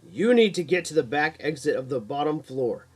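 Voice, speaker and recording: A man's voice: on the high side, sing-song, normal speed, a little mellow, slightly rough, soft background music, pitched above the performer's own voice. Man playing a grim, resolute young hero. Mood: commanding. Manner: terse, resolute. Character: grim, protective young male supernatural hero.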